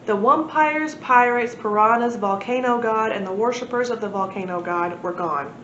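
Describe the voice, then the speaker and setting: A woman's voice: normal speed, fairly bright tone. A woman storyteller at a library reading a children's book aloud expressively. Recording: echoey room, muffled, noisy low rumble.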